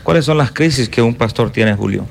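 A man's voice: medium pitch, expressive, brisk, vocal fry, slight background noise. A man hosting a conversational interview, echoing and affirming his guest. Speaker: interviewer, host.

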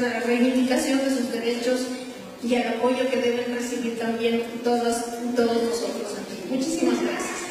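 Woman, high-pitched, formal thank-you speech, organizer at an event, distant mic, long hall reverb. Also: a little mellow, slight background noise. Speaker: festival organizer giving opening remarks.

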